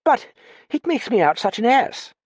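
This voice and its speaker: A man voicing a naive, flustered young gentleman with hesitant, stammering upper-class slang. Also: on the high side, big pitch swings, moderate pace, breathy edge, no room sound, voice pushed up, heavily acted. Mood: embarrassed, reluctant. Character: plump young English gentleman, naive, flustered, rather foolish.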